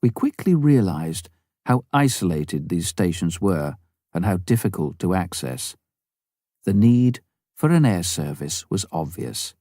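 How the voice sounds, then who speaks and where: Low-pitched, expressive male audiobook narrator. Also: a little slow, no echo, studio quality. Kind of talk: scripted memoir narration.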